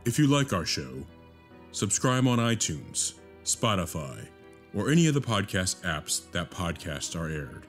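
Male announcer, deep voice, scripted podcast outro, credits read, music underneath. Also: very animated, a little slow.

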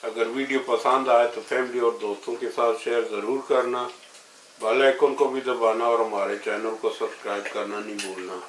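Male home-cook host, medium pitch, plain step-by-step narration, echoey kitchen recording. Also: flat delivery, normal speed, a bit bright. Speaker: home cooking channel host. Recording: roomy kitchen echo, faint noise, sizzling.

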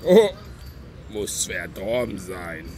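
An actor playing a drunk, mocking, bitter non-human vagrant with a gravelly, drawling voice in an audio drama. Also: male voice, sits low, very animated, slow, a little mellow, a little hiss or hum, full character voice. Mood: feigned politeness. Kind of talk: German audio drama acting.

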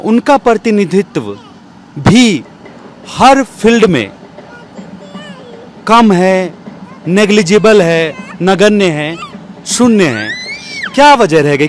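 Male interviewer, young, high-pitched, recorded outside with light street noise. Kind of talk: on-location interview questioning. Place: outdoors on a bench in a courtyard. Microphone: handheld interview microphone. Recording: outdoor street noise, traffic behind.

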